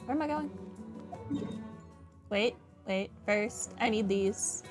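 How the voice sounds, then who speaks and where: A woman's voice: mid-range voice, slow, music in the background. A woman giving casual playthrough commentary as a streamer, wryly talking back to the game. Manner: wry, talks back to the game.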